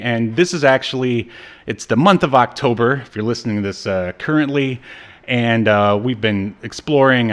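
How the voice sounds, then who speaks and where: A man talks as a podcast host in an easygoing, conversational way. He jokes often and pokes fun at himself, with a medium-pitched voice. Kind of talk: casual chat about obscure media.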